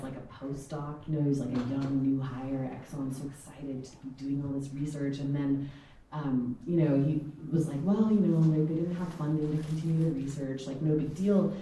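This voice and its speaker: A woman's voice: a bass voice, mellow. A woman podcast producer speaking on a live panel, rambling with many filler words. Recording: echoey room, distant mic, faint noise.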